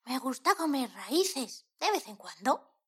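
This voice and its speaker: Woman acting a high, husky, adventurous hen. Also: big pitch swings, slow pace, a bit bright, heavily acted. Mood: playful. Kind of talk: children's audio story acting.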